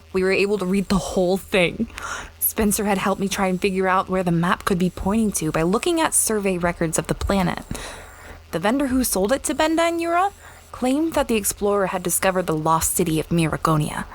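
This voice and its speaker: A woman's voice: mid-range voice, expressive, normal speed, natural voice. A woman playing an easygoing space explorer in an audio drama, with a casual, mild, musing delivery. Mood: reflective. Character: easygoing, peacekeeping female space explorer recalling past trips. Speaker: lead character and narrator. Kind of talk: audio drama narration and dialogue.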